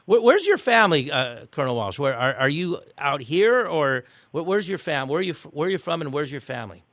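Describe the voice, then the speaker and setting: A man's voice: fairly high pitch, very animated, a bit bright, no echo. Upbeat man hosting a boating radio show. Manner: chatty, halting, with many restarts and fillers. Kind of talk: casual radio interview and sign-off.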